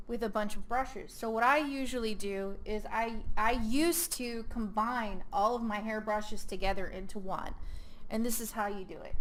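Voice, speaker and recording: A woman hosting a screen-recorded software tutorial, talking casually with many ums and self-corrections, her voice a little hoarse. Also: fairly high pitch. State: losing her voice. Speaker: host.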